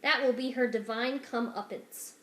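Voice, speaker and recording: A woman's voice: on the high side, normal speed, lightly acted. Woman voicing a smug, scheming male rat, echoey room. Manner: smug, mock-polite, formal wording. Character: scheming, fussy, condescending male rat plotting revenge. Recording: roomy indoor echo.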